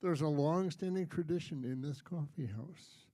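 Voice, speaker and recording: A man's voice: a little high, moderate pace, no room sound. A man hosting a folk concert, making casual, joking announcements to a live audience in a coffee house. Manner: joking, chatty, relaxed. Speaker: concert host.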